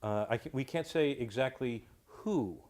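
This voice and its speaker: A man speaking as an interview guest, explaining in measured, somewhat formal phrases with some hesitation. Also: mid-range voice, animated, darkish tone. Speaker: guest, audio drama creator. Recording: faint background noise.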